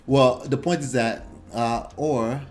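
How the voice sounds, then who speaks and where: A man from Nigeria, co-hosting a casual relationship chat in an animated, blunt way, repeating phrases for emphasis. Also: mid-range voice, slow pace. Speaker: talk show co-host.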